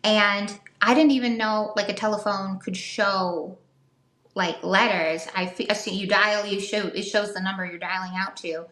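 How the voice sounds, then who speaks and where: A woman's voice: mid-range voice, fairly even intonation, moderate pace, a bit bright. Chatty woman podcaster in an echoey room. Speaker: podcast co-host. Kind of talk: casual storytelling chat about the paranormal.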